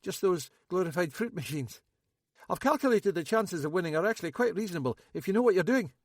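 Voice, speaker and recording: A jittery young Scottish man, voiced by a male audiobook reader pitches high and pours out his words in a rush, loud and self-pitying. His voice swings wildly up and down. The sound is dry and close. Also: fast talker, dry recording. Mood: nervous. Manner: excitable, rambling, self-pitying.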